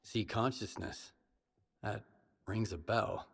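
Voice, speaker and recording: A man playing a gruff, stoic survivor hero in a video game, blunt and husky. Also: fairly low pitch, fairly even intonation, slow, soft background music, full character voice. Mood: serious, probing.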